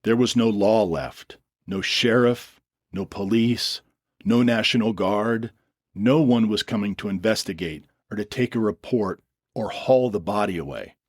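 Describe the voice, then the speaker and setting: A man's voice: on the low side, unhurried, no room sound, studio quality. A man reading scripted, dramatic story narration as the narrator.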